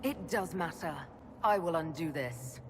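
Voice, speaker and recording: An actress playing a determined young elven hero in a fantasy video game, firm and commanding. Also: female voice, low voice, expressive, unhurried, noisy background. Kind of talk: dramatic video game acting.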